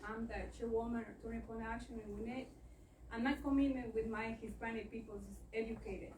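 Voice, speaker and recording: A middle-aged woman gives an earnest, plain-spoken talk at a meeting. Her voice sits a little high, and it reaches the mic from some distance across an echoey room with faint noise behind. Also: fairly even intonation, brisk, a little mellow. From Ecuador. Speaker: guest speaker. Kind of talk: public speech at a meeting.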